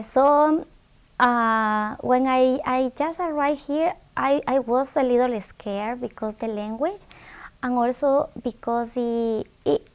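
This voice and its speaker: A woman's voice: a little high, clean tone. A young woman from Ecuador with a Spanish accent, a native Spanish speaker, giving interview answers in English with many restarts and repeated words. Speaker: graduate scholarship student.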